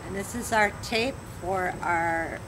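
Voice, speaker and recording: A woman in her fifties reads interview questions aloud in an easy, chatty way, laughing often. Her voice sits at a middling pitch and comes from a camera at some distance in a garden. Clear background noise runs under the whole recording. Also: slow. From Michigan. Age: 50s. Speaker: applicant reading audition questions. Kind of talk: casual group chat. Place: outdoors in a garden, seated. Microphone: camera mic at a distance.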